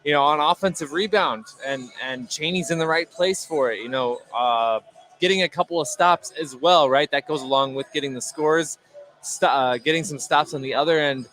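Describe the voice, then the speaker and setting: A man giving conversational live color commentary on a basketball game in a halting, hesitant way that repeats words. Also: a little high, a bit bright. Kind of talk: conversational live sports analysis. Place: indoor gymnasium during a game.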